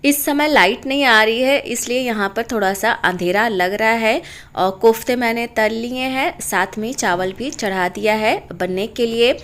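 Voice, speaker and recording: A woman's voice: fairly high pitch, measured pace, fairly bright tone. A middle-aged woman casually narrating her daily home and cooking routine as a vlogger, her throat sore from a cold. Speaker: homemaker vlogger and mother.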